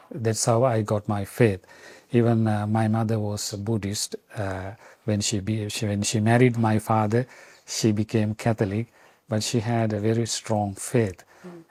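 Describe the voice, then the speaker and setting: A middle-aged man from Sri Lanka, a Vatican official answering interview questions in a halting way with many fillers and restarts. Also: on the low side, little pitch movement, darkish tone, no room sound.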